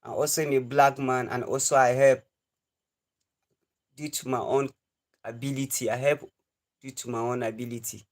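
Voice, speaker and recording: Young Nigerian man, twenties, livestream host, earnest and preachy, repeats his points, mid-pitched voice. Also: little pitch movement, normal speed, pauses often. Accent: Nigerian English. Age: 20s. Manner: earnest, repetitive, lecturing. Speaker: live stream host.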